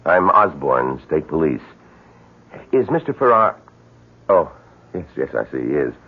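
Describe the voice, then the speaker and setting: A state police officer, firm, official and matter-of-fact. His voice is low and husky with lively swings in pitch. It has the narrow, dull sound of a 1970s radio drama. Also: heavily acted. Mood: polite, firm. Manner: polite, official, measured.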